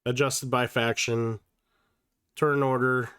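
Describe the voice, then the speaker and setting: Male streamer, under the weather, slightly nasal, mid-pitched, loose profane chatter, dry close mic. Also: level tone, slow, fairly bright tone, clear, resonant tone, dry recording. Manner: casual and profane, with many fillers and restarts. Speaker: livestream host. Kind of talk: casual livestream chat.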